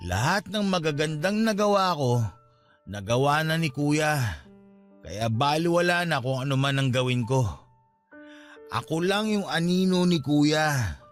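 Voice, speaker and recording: A Filipino actor in a radio drama playing a drunk, self-pitying young boyfriend who feels neglected, with groggy delivery. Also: male voice, fairly high pitch, lively intonation, measured pace, pauses often. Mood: bitter, hurt. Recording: music behind.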